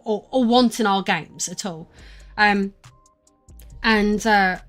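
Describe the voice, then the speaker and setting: A woman in her thirties with an Estuary English accent chats loosely to her stream viewers, full of ums and restarts. Her voice sits in an ordinary mid range. Soft music plays behind her at home. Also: mid-range voice. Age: 30s. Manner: chatty, rambling, many fillers and restarts. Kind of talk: casual live-stream chat. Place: room at home.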